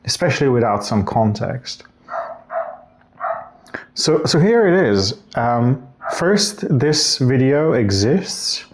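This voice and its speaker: A man's voice: medium pitch, noisy background. Reflective man rambling through a vlog. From Bulgaria. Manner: reflective, rambling with restarts and self-corrections. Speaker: vlogger. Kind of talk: casual talking-head vlog.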